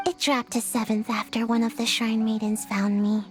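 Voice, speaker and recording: Female actor as lazy little ninja kid for a game: high, sleepy, nonchalant, quietly proud. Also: little pitch movement, normal speed, soft background music, close to an everyday voice. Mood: drowsy, nonchalant. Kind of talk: video game voice acting.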